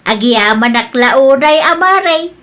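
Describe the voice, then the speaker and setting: A woman playing a forgiving older grandmother in an Ilocano family comedy drama, speaking in a measured way. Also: sits high, expressive, fairly bright tone. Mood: grateful. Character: older grandmother, once proud, mellowed and forgiving.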